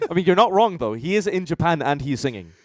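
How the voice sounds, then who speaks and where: A man's voice: on the high side, sing-song, fairly quick, no echo, studio quality. A man from Denmark chatting casually as a co-host of a reaction show, with a blunt, joking, sweary manner. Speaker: co-host reviewing beatbox competition entries.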